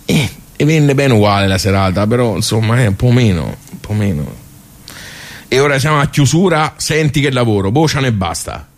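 A man with a Tuscan accent playing a simple, grumbling, nostalgic middle-aged club regular in a radio soliloquy, halting and muttering as he rambles. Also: fairly low pitch, close to an everyday voice. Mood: wistful, then stubborn, grumbling. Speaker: actor in a radio theatre monologue. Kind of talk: colloquial theatrical soliloquy.

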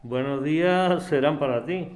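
A man's voice: fairly high pitch, a little slow, darkish tone, a little hiss or hum, lightly acted. An actor with an accent from Spain playing a grumpy, distrustful older man in an audio drama, curt and bossy. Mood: grumpy, warning. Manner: curt, grumbling, commanding. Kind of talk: acted audio drama dialogue.

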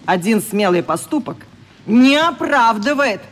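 A woman's voice: a little high, lively intonation, unhurried, slight background noise. An actress playing a strict, indignant schoolteacher in a radio play, lecturing her class in a stern, haughty manner. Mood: stern, sarcastic.

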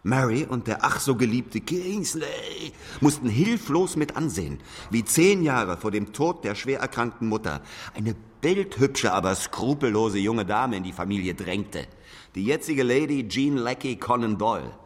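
A man's voice: medium pitch, lively intonation, unhurried. An actor playing a cold, mocking male interrogator in a German radio drama, sardonic and cutting. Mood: accusing. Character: cold, mocking, menacing male interrogator needling an old man. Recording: long reverb, faint background noise.

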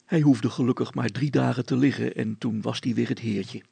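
A man narrates a children's tale with a very gravelly, rough voice. His delivery is deliberate, old-fashioned storytelling, recorded dry with no room sound. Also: mid-range voice, steady tone, mellow, no room sound.

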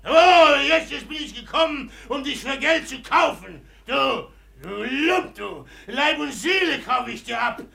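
Drunk boastful rich man, bright forceful tone, wildly swooping pitch, volatile, repetitive ranting, German radio play. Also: lively intonation, a little slow, gravelly, heavily acted. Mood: gloating fury. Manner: slurred-drunk ranting, repeats words, bursts into laughter.

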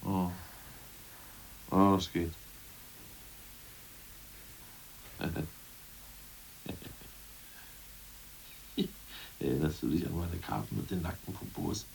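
A man playing a crude, scheming ousted party functionary in a radio play, boastful and plotting, muttering one moment and loud the next. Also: low-pitched, moderate pace, gravelly, far from the mic, a little hiss or hum, poor audio. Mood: conspiratorial. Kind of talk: 1980s radio play acting.

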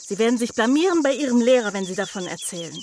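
A woman's voice: fairly high pitch, animated, fast talker, darkish tone, a little hiss or hum, lightly acted. An actress playing a stern, sarcastic young woman in a German radio play, scolding her father drily and reproachfully. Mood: sarcastic reproach. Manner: reproachful, dry.

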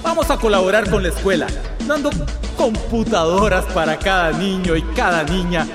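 An actor playing a smug, condescending company manager in a radio soap opera, self-important and dismissive. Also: male voice, sits high, normal speed, reverberant, music in the background, close to an everyday voice. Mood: smooth public pitch.

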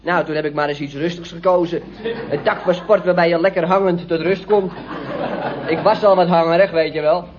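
An actor playing a cheeky, languid young slacker with a droll, slangy way of talking in a radio comedy. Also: male voice, on the high side, fairly even intonation, fairly quick, lightly acted. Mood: languid cheek. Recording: muffled, noisy old radio recording.

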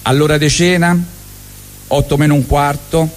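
A man with a Roman accent telling a comic monologue on stage, lively and full of asides. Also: a little high, normal speed, soft background music. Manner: lively, jokey, chatty asides. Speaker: storyteller on stage. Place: live stage at a benefit evening.

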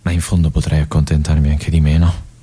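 An actor playing a brooding male army lieutenant in a theatrical monologue, with an intense, hushed delivery and phrases that drop to a whisper. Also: extremely low pitch, level tone, a little fast, slightly rough. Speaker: stage actor.

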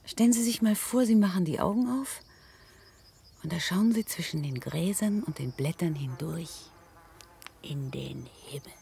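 A woman's voice: lowish voice, animated, normal speed, mellow, a little hiss or hum. Dreamy, whispering woman in radio drama. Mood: dreamy, wistful. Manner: breathy half-whispers, wistful. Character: dreamy, anxious woman in a futuristic paradise park. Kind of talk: German science-fiction radio play acting.

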